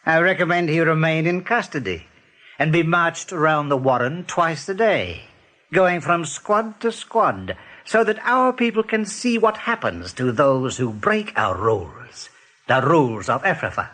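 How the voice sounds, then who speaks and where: An actor in a radio play playing a coldly authoritarian rabbit general, measured, formal and menacingly courteous. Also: male voice, on the high side, big pitch swings, fairly bright tone. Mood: commanding. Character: commanding male rabbit general, coldly authoritarian warren ruler.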